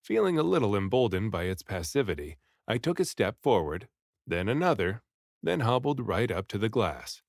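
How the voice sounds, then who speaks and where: A man reading a story aloud in an expressive, dramatic first-person audiobook style. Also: on the low side, normal speed, vocal fry, no room sound, studio quality. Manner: dramatic, animated delivery with acted dialogue. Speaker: read-aloud narrator and voice actor.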